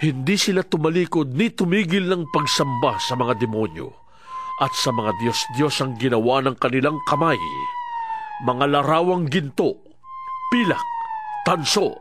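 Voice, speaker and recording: An awed prophet telling his vision, in a fairly high, lively voice over music. The narration is earnest and declamatory, like a dramatised scripture reading. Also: unhurried. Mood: grave, earnest. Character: awestruck male prophet recounting his vision.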